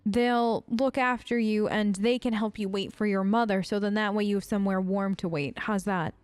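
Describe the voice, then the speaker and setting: A woman giving casual, chatty role-play commentary over a video game, playful and gentle, often hesitating and tagging on questions. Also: mid-range voice, steady tone, moderate pace, smooth, no echo. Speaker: gamer playing a role-play let's play.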